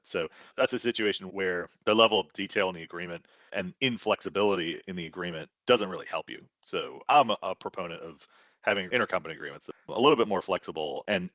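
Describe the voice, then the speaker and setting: Very deep-voiced man, bright and forceful. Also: no room sound, dull audio. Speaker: expert panelist on tax. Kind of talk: conversational expert commentary.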